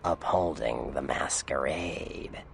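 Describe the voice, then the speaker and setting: An actor playing a sly, sardonic vampire informant in a video game, with a gravelly, whispery voice and a mocking drawl. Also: male voice, extremely low pitch, slow, full character voice. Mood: conspiratorial.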